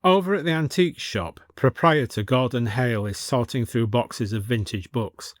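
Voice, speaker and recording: A man's voice: mid-range voice, moderate pace, dry recording. Male storyteller with very dry sound. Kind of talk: scripted story narration.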